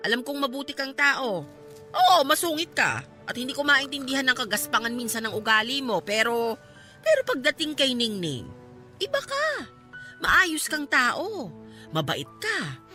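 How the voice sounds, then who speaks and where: Bright, lively, caring Filipina friend. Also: female voice, high-pitched, normal speed, pauses often, soft background music, exaggerated voice. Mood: insistent, sincere. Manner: emphatic, coaxing. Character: caring, outspoken woman friend, a mother, persistently persuasive. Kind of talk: Filipino radio drama acting.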